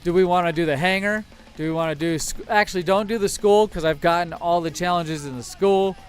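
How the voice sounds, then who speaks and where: A man's voice: sits high, a little fast. An American man hosting a casual game livestream, talking in an enthusiastic, rambling way. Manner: enthusiastic, rambling, mild swearing. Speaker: livestream host. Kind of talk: casual game streaming chat. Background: faint music.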